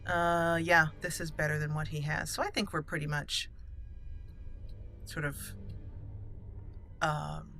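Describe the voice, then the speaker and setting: Bright-voiced woman doing game commentary. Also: sits low, steady tone, takes it slowly, vocal fry, long pauses, soft background music. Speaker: gaming channel host. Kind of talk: roleplay let's play commentary.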